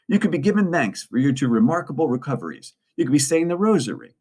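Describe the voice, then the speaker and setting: A man's voice: on the high side, big pitch swings, fast talker, a little mellow, voice pushed up. A man voicing a stern, bossy nun who scolds children in a storybook reading. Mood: scolding. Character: stern scolding nun in a hospital, adult woman.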